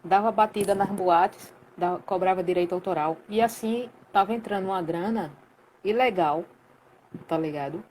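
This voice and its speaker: A woman in her late thirties from Recife with a Pernambuco accent, telling a story in a lively, colloquial way full of slang during a casual live chat. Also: mid-range voice, normal speed, a little hiss or hum, poor audio. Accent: Pernambuco Portuguese. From Recife, Brazil. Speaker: guest, sound professional.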